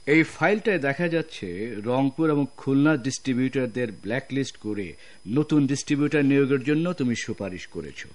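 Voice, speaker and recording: Smug, scheming boss played by an actor, very animated. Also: male voice, mid-range voice, a little fast, close to an everyday voice. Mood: mocking. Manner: hemming, oily, sarcastic. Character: smug, scheming, sly male general manager. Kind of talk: radio drama acting.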